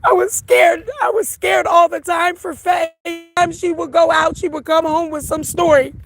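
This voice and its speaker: Hoarse, high-voiced woman, teary and raw. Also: little pitch movement, normal speed. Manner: raw, emotional, repeats herself. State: hoarse from crying. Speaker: livestream host. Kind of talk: emotional livestream talk to viewers.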